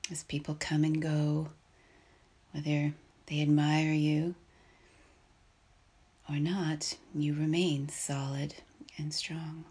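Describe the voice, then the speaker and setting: A woman leading a guided meditation in a soft, breathy, husky voice, calm and measured, leaving long pauses between phrases. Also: low voice.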